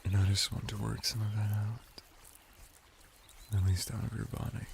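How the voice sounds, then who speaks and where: A man voicing a flirty, calm merman bathhouse attendant in an ASMR roleplay, intimate and courtly, with formal, flattering speech. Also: lowish voice, vocal fry, a little hiss or hum. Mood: reverent, calm. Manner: soft-spoken, intimate, formal flattering speech with lingering pauses. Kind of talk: ASMR roleplay addressed to the listener.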